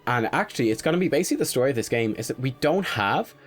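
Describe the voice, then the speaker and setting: A man's voice: medium pitch, expressive, very fast, faint music behind. A young man with a stuffed-up nose from a cold, chatting through a game draft in casual, analytical commentary. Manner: chatty, analytical, with little laughs. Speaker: gaming commentator.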